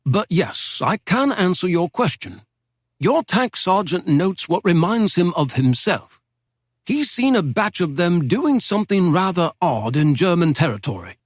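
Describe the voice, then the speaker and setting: A man reads as a polite British officer, courteous and quietly wry. His voice sits fairly high and moves with lively inflection, heard close and dry. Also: a little slow, no echo, heavily acted.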